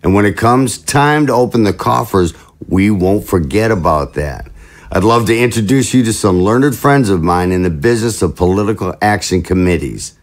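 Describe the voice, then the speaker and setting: A man's voice: on the low side, animated, normal speed, lightly acted. A man playing a jovial, scheming political chief of staff in an audio drama, with a glib, jocular, theatrical manner. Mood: grandly pleased.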